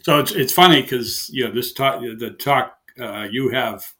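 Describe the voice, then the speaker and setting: A man with a heavy Boston accent, a guest engineer explaining his work in a casual interview, halting with many fillers and restarts. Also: mid-range voice, pushed and bright. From Boston area, USA.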